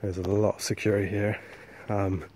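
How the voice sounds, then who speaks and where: A man talks into a handheld camera outdoors at night, his low voice staying flat and even. He speaks in a hushed, halting way, full of ums and uhs. Faint outdoor noise sits under the recording. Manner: hushed, hesitant, many fillers. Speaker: urban explorer vlogger. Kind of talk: casual vlog narration. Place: outdoors at night on abandoned mill grounds.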